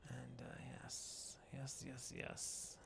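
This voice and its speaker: A man roleplaying a hazy-minded dark elf shaman in a video game, muttering and rambling hesitantly as he muses aloud. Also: medium pitch, wildly swooping pitch, drawn-out words, gravelly, exaggerated voice. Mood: musing, hesitant. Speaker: player roleplaying his game character. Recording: noisy background with game ambience.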